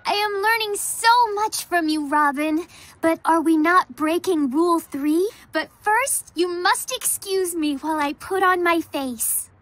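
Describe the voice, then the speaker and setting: A woman's voice: very high-pitched, a little slow, fairly bright tone. A woman voicing a sweet, naive alien girl superhero in a cartoon, with earnest, stiltedly formal phrasing. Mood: eager. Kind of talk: animated cartoon voice acting.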